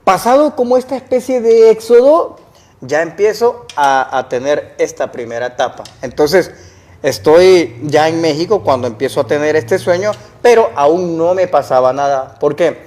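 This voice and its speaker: A man's voice: a little high, expressive, moderate pace, darkish tone. A young man, earnestly recounting his experiences as an interview guest and posing rhetorical questions. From El Salvador. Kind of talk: casual interview storytelling.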